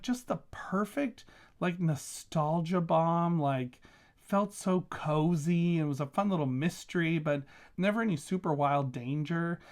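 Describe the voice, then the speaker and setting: A man talking casually as a vlogging reviewer, gushing with emphatic, enthusiastic delivery. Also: high-pitched. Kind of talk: casual chatty book review vlog.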